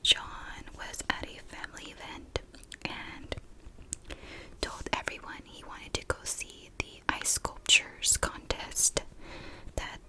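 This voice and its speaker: A woman telling a true crime story in a soft, breathy ASMR whisper, casually and gently, in short halting phrases. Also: low-quality recording.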